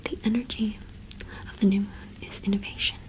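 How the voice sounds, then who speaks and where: A young woman playing a friendly, gentle cottage witch shopkeeper in a whispered ASMR roleplay, unhurried and attentive, close to the microphone. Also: medium pitch, flat delivery, close to an everyday voice, low-quality recording. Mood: calm, reading aloud. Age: young adult.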